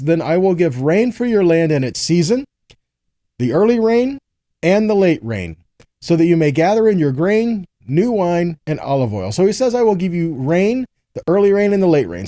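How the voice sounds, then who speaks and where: Male scripture teacher, slightly high but soft-edged voice, dry close sound, conversational and instructive. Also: no room sound. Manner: earnest, instructive, checks in with rhetorical questions. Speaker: Bible teacher giving a teaching. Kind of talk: conversational scripture teaching, reading verses aloud and explaining.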